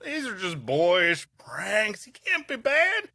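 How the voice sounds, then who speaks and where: Man doing a high, swooping defense lawyer. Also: wildly swooping pitch, slow pace, sharp tone, no room sound, clean studio sound, soft background music, heavily acted. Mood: pleading. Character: pompous, indignant male defense lawyer pleading for a client.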